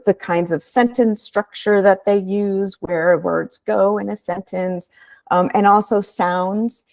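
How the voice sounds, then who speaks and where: A woman researcher giving a measured, explanatory webinar presentation over a video call. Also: mid-range voice, darkish tone, no room sound.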